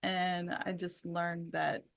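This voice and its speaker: A woman's voice: on the low side, little pitch movement, slow, creaky. A woman co-hosting a podcast with her husband, talking casually and agreeably and often affirming him with short "yeah"s. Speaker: podcast co-host.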